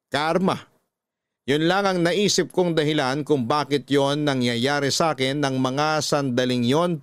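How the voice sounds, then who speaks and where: A man's voice: a little high, no echo, studio quality, close to an everyday voice. A man with a Filipino accent earnestly reading a first-person confessional story as scripted radio drama narration.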